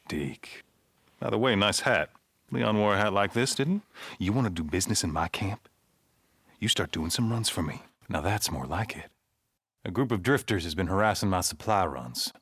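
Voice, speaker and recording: A low-voiced leader of a survivor camp in a video game. He is folksy and commanding, with lively swings of pitch and plain, naturalistic delivery. The sound is close and dry. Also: dry recording, full character voice. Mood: sly, bargaining.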